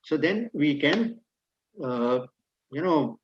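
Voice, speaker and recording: A man's voice: a little high, expressive, slow pace, highs cut off, a little hiss or hum. A man whose first language is Hindi, speaking Indian English and mixing in Hindi, giving a motivational talk on leadership that states maxims and then explains them. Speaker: guest speaker.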